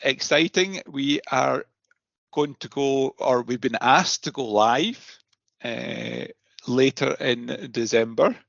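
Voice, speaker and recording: A man hosting a podcast in a casual, halting chat style, often repeating his words. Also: mid-range voice, animated, creaky, fairly bright tone, no echo, dull audio. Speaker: podcast host.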